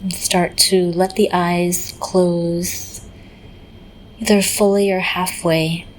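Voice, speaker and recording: A woman leading a guided meditation in a gentle, unhurried manner, often pausing in the middle of phrases. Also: lowish voice, flat delivery, a little hiss or hum, poor audio. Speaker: meditation teacher.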